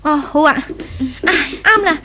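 A woman playing an excitable household servant in a 1970s Hong Kong Cantonese radio drama, with a shrill delivery. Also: a little slow, noisy background. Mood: excited.

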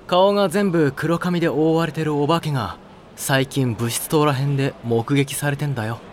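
A man playing a frank, slightly squeamish high school senior in an anime audio drama, talking in blunt, casual boyish slang. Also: moderate pace, a little hiss or hum, lightly acted. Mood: blunt, uneasy.

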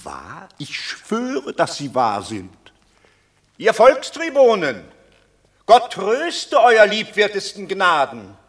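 An actor playing an excitable old Roman patrician in a radio play, swinging from hushed whispers to loud outbursts. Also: male voice, sits high, slow pace, heavily acted. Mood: eager. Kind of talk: classic radio play acting.

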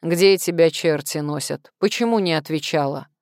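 A woman voicing a gruff, bullying male crime boss who barks coarse demands in an audiobook. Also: deep voice, normal speed, darkish tone, dry recording, studio quality. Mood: angry, demanding.

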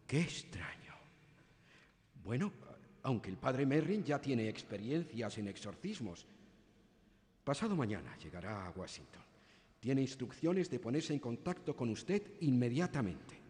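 A man acting in a radio drama as a dignified senior churchman who talks formally and calmly. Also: mid-range voice, measured pace. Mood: puzzled, composed. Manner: formal, informative. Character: dignified senior churchman, calm male bishop. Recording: long hall reverb.

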